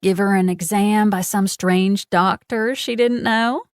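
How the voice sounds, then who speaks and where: A woman's voice: mid-range voice, fairly even intonation, no echo, studio quality, natural voice. A woman voicing a sweetly vicious Southern woman with a honey-coated drawl, cooing and mocking. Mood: taunting. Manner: syrupy, taunting, drawn-out words.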